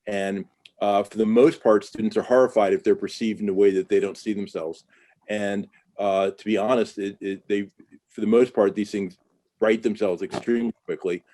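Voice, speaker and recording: An American man on a webinar panel, his low voice mellow and dark. He answers in a candid, conversational way full of ums and uhs, heard through a video call microphone. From United States. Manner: conversational, candid, with many fillers.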